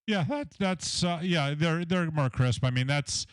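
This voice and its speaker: A deep-voiced man with a Southern American accent, chatting casually about his radio audio setup from his home shack, with a lot of fillers and technical talk. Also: a little slow, heavy background noise. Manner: rambling, filler-heavy, technical. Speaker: ham radio operator, station owner.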